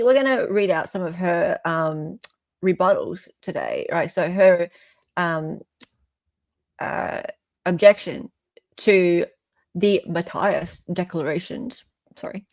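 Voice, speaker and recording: A woman's voice: on the low side, darkish tone, no echo. An Australian woman hosting a live stream in casual, opinionated chat, often checking for agreement as she goes.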